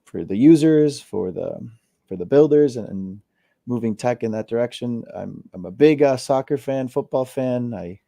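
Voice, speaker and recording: A man in his thirties, from Costa Rica, chatting casually as an interview guest, relaxed with many fillers and repeated words. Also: medium pitch, normal speed, creaky, no room sound, studio quality. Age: 30s.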